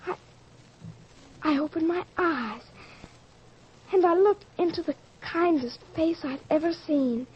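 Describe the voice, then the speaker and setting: An actress playing a tender, awed young girl in an old-fashioned radio drama. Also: very high-pitched. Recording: dull lo-fi radio drama recording.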